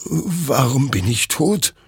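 War-weary male soldier for a dark audiobook man acting it out: frightened, defiant, husky, voice pushed high in desperation. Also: animated, slow pace, no room sound, clean studio sound, exaggerated voice. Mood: frightened, bewildered. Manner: pleading, agitated, stammering.

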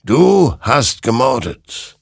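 Man voicing a slow, menacing, all-knowing rider of death. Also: on the low side, sing-song, gravelly, a bit bright, breathy edge, dry recording, studio quality, voice pushed up, heavily acted. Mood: accusing. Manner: slow, solemn, hissing and whispering. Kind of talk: dramatic audiobook storytelling.

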